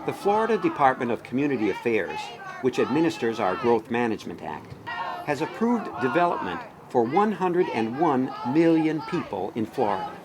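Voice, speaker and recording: A man's voice: medium pitch, sing-song, moderate pace, crowd noise, noisy background. A man reading scripted documentary narration as the narrator.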